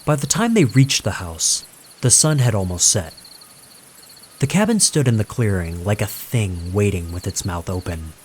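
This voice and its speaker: A man reading a scripted horror story in a measured, suspenseful way with dramatic pauses. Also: fairly low pitch, lively intonation, slight background noise. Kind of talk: scripted creepypasta narration.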